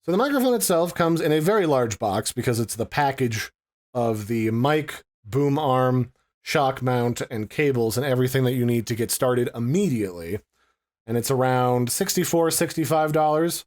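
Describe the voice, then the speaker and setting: A man's voice: mid-range voice, darkish tone. A man giving a conversational, informal product review, explaining things in a casual unboxing style.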